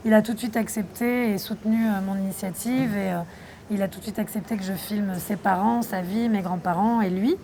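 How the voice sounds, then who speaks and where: A young woman from France answering interview questions about her documentary, reflective and thoughtful, pausing often. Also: medium pitch, steady tone, warm tone, a little hiss or hum. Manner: reflective, thoughtful, with frequent hesitations. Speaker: documentary filmmaker being interviewed.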